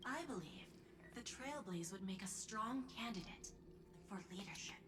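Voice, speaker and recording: An actress playing a poised, persuasive corporate executive in a video game, speaking formally in measured phrases. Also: female voice, medium pitch, lively intonation, background noise, lightly acted. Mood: flattering, courteous. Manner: formal, smooth-talking, measured. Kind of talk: scripted video game dialogue.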